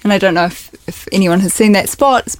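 A woman's voice: mid-range voice, fairly quick. A woman with a New Zealand accent, a creative director, chatting in an interview with frequent "um"s. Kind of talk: casual interview chat.

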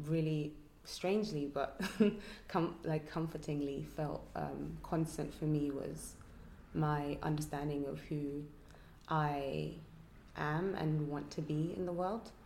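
Woman, low gravelly voice, quiet and halting, echoey room, faint noise. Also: fairly even intonation. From Nigeria. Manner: quiet, reflective, halting with ums. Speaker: hairstylist and artist. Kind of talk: casual interview answer.

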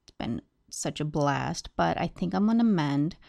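A woman's voice: deep voice, animated, a little slow, vocal fry, mellow, no echo. A woman hosting a true crime podcast, talking to listeners and narrating. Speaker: podcast host.

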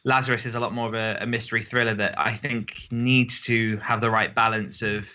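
A man's voice: on the low side, level tone, fairly quick, bright, forceful tone, highs cut off. A man hosting a podcast in a casual, chatty style. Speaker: podcast host. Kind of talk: casual chat.

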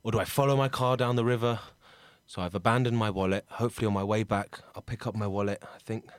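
A man's voice: on the low side, steady tone, fast, clean tone, dry recording, natural voice. A man plays a luckless Englishman stranded far from home in an improvised comedy. He is blunt, chatty and sweary, recorded very dry. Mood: forlorn muttering. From England. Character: Bob, hapless sweary Englishman stranded in France, missing his dad.

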